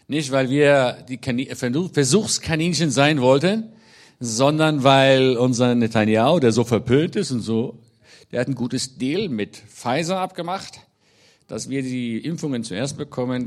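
Middle-aged man from Israel, guest speaker at a service, relaxed and joking, hesitant fillers, average pitch. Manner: chatty and joking, with frequent hesitations. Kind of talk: casual talk to a congregation. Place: meeting hall.

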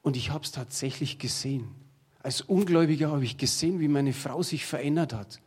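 A man's voice: on the high side, brisk, a little mellow, slightly rough. A middle-aged man preaching a sermon to his congregation, talking in a plain conversational way and drawing on everyday examples. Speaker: pastor.

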